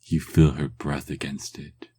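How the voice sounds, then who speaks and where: A man tells a second-person story in an extremely deep voice with a husky edge. His delivery is calm and unhurried, meant to soothe, and it sinks into hushed whispers at the quietest moments. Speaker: narrator.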